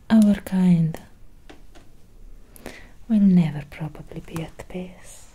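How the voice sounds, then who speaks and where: A young woman playing a soothing, gentle Victorian vampire doctor in a breathy ASMR whisper. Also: low voice, exaggerated voice, rough recording. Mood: reassuring. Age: young adult. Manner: slow, soothing, lingering pauses. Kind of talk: ASMR roleplay. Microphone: close ASMR microphone.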